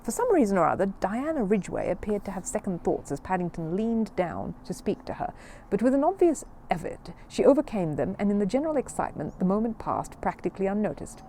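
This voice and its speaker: Woman reader for children's audiobook, very lively intonation, soft dark tone. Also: mid-range voice, a little fast, slight background noise. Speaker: storybook narrator. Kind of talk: expressive children's audiobook narration.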